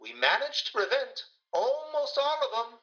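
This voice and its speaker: Woman doing a police sergeant, old radio play, very animated pitch, talks down to people, a bit lo-fi. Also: measured pace. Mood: patronizing. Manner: patronizing, colloquial, placating. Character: unhurried, skeptical, placating city police sergeant. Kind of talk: 1940s radio play acting. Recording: slightly dull, lo-fi sound.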